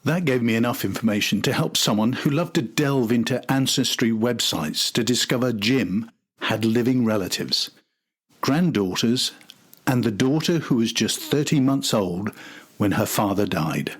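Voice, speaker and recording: An older man with a slightly husky voice, reading scripted documentary narration as a podcast host. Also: medium pitch, lively intonation, moderate pace.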